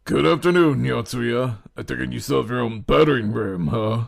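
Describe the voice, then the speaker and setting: A man playing a creaky-voiced, genial older tenant. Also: mid-range voice, normal speed. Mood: wry. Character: genial, teasing middle-aged former high school teacher, male. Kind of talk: amateur audio drama acting.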